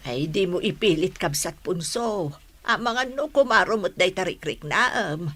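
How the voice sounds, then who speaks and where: A woman's voice: lowish voice, lively intonation, moderate pace, a bit bright, husky. A woman playing a concerned, protective middle-aged village relative in a radio drama, insistent and fretting.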